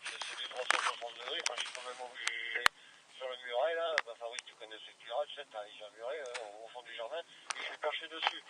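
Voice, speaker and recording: A man's voice: medium pitch, quick pace. A man in informal, rambling radio chat, with a hesitant delivery. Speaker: amateur radio operator. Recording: noisy two-way radio recording.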